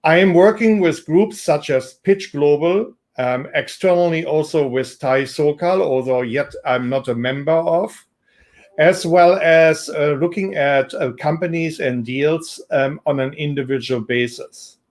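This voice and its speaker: A man with a German accent introducing himself as an investor, speaking in a measured, businesslike way with frequent pauses and ums. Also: on the high side, darkish tone. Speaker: investor and entrepreneur. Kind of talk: self-introduction to camera.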